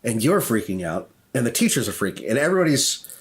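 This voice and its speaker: A man's voice: medium pitch, quick pace. A man narrating a tabletop game as game master, conversational and improvised. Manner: animated, conversational.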